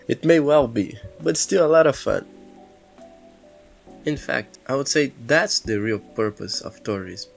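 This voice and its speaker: Male actor as easygoing bon vivant for a game, gravelly mid-pitched voice, breezy delivery. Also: animated, measured pace, soft background music. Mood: cheerful. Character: easygoing, pleasure-loving male cafe patron.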